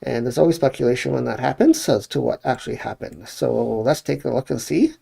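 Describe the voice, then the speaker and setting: A man with a heavily creaky, fried voice and a dark, relaxed tone. He co-hosts a live stream in a casual way, passing along questions from the chat. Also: medium pitch, fairly quick. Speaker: co-host handling chat and callers.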